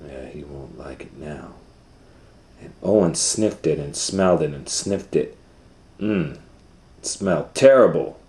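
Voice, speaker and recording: A middle-aged man reading a picture book aloud for children, dropping to whispers and rising to loud excited voices for the story. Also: a bass voice, a little slow, pauses often, echoey room. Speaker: storytime reader.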